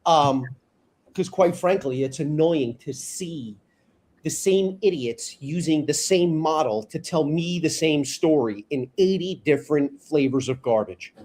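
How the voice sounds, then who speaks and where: A man's voice: on the high side, measured pace. A man with a New York accent talking about sales in a casual panel chat, blunt and opinionated. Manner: blunt, opinionated, animated storytelling. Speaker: sales trainer guest.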